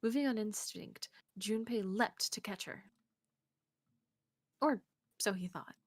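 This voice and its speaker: A woman reading visual novel narration aloud on a game stream in an expressive way, close to the mic. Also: medium pitch, slow, slight background noise. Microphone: close streaming mic, dry sound.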